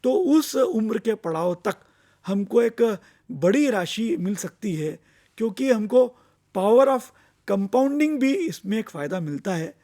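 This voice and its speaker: A man with an Indian accent giving an explanatory finance lecture, deliberate and instructive, repeating points for emphasis. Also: sits high, big pitch swings, mellow. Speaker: finance expert giving investor education. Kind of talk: explanatory lecture mixing Hindi and English.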